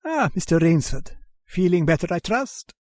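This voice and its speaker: A man's voice: high-pitched, very animated, normal speed, a little mellow, no room sound, studio quality. A man acting the part of a genteel, sinister aristocratic general in a radio horror drama, courteous and suave with theatrical menace.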